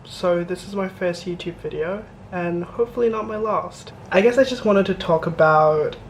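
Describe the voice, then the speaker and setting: A man's voice: high voice, normal speed, a little hiss or hum. A young man from Malaysia chatting casually to the camera as a student vlogger, rambling a little with self-deprecating asides. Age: young adult.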